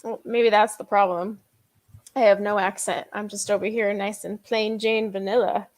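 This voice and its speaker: A woman's voice: medium pitch, level tone, normal speed. A woman hosting a live coloring chat stream, talking casually with lots of fillers and frequent laughter. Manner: chatty, laughs often, many fillers.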